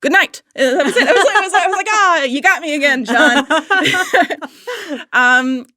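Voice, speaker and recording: Higher-pitched woman gushing in casual chat. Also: a little slow, no echo, clean studio sound. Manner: gushing, animated fan enthusiasm with emphatic repetition. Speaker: podcast guest.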